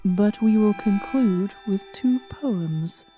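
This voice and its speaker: A woman's voice: medium pitch, slow, dark tone, dull audio, soft background music. A woman reading scripted stories aloud as a narrator and host. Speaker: host and narrator of a fan fiction reading show.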